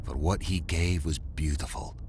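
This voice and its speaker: A man's voice: a bass voice, steady tone, normal speed, background noise. An actor playing a tormented male mad scientist, delivering a solemn, theatrical rhyming monologue in a gothic horror audio drama. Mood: anguished, regretful. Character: tormented, brooding male mad scientist confessing his blasphemy.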